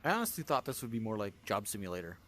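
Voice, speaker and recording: A man's voice: fairly low pitch, very animated, racing, a bit bright. A man giving casual, grumbling, sarcastic game commentary as a video host, over noisy game audio. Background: game sounds and ambience.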